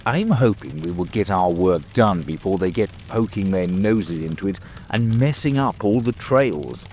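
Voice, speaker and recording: A man's voice: low-pitched, lively intonation, moderate pace, a little mellow, slight background noise. A man voicing a genial, eager provincial police detective in an audiobook drama, with a hearty, chatty manner. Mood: excited.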